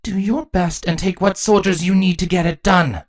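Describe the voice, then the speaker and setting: A woman's voice: on the low side, steady tone, normal speed, voice pushed up, close to an everyday voice. A woman voicing a commanding changeling queen in a fantasy story, stern, grave and authoritative.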